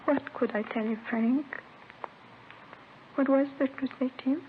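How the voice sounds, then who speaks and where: Sad young mom confessing, halting and pleading, old noisy thin radio drama recording. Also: female voice, sits high, phone-quality sound. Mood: pleading, anguished. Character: grieving, distraught young mother confessing to police. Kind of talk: 1950s radio drama acting.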